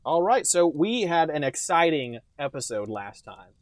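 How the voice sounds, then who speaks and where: A man's voice: on the high side, big pitch swings, moderate pace, dry recording. Male podcast host, very dry sound. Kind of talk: casual comic banter.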